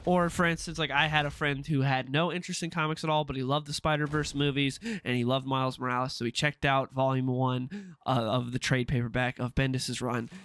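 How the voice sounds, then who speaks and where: A man's voice: mid-range voice, flat delivery, brisk, fairly bright tone, smooth. Stuffy-nosed nasal man, chatty commentary. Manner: chatty and opinionated, with frequent "uh" fillers. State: sick with a stuffed-up nose. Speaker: comic book commentary YouTuber. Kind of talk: casual opinion commentary.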